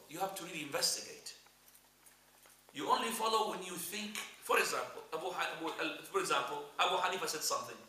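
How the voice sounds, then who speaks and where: A man's voice: on the high side, bright, forceful tone, recorded from a distance. A man with as his teaching an Islamic class in a mosque, speaking emphatic, repetitive, English. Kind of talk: classroom lecture. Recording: echoey room, voice from afar.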